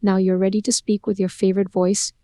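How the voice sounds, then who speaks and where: A woman reads a scripted software promotion in a smooth, evenly paced voice-over. Her intonation stays very flat, and her tone is mellow and warm. The recording is dry and close, with no room sound. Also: mid-range voice, a little fast, no room sound. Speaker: product promo narrator.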